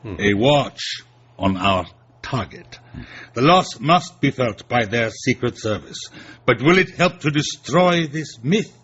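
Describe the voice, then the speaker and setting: Soviet general chairing things, husky, stern and deliberate, radio drama, bit muffled. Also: male voice, medium pitch, animated, fairly bright tone, full character voice. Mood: formal, stern. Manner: formal, authoritative, deliberate. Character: commanding, stern male Soviet intelligence general.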